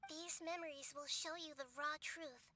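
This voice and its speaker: A woman voicing a wise, gentle young goddess in a game, soft-spoken and explaining patiently. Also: sits high, steady tone, normal speed, background noise, natural voice. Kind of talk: game voice acting.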